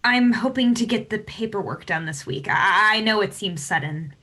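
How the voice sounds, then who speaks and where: A woman's voice: mid-range voice, animated, moderate pace, sharp tone. A young woman playing a pragmatic, defensive but caring older sister in a stage drama, speaking insistently and persuasively. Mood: nervous, matter-of-fact. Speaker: student actor. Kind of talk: naturalistic stage drama acting.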